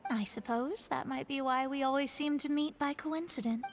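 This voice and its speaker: A woman's voice: high-pitched, normal speed, a little hiss or hum. A woman playing an earnest, proud young female astrologer mage in a video game, speaking articulately and formally. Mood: flustered, kind. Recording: faint music behind the voice.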